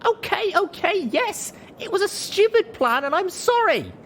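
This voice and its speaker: Man putting on a very high young mare heroine. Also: sing-song, a little slow, fairly bright tone, a little hiss or hum, heavily acted. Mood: desperate, apologetic. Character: young female pony heroine, weary, desperate, apologetic. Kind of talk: audiobook character voice.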